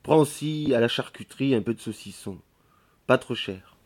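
A man's voice: medium pitch, moderate pace, darkish tone, voice pushed up, lightly acted. Man reading a sullen, penniless young lodger. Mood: curt.

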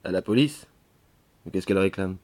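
A man's voice: low-pitched, normal speed, darkish tone, natural voice. A man voicing a sullen, penniless young male lodger in a novel reading. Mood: curt.

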